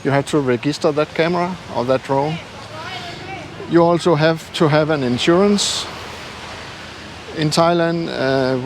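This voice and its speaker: Slow-talking man with non-native English, noisy outdoors. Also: on the high side. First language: not English. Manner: halting, self-deprecating. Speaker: travel YouTuber. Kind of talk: casual vlog talk to camera. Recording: noisy outdoor street ambience with traffic.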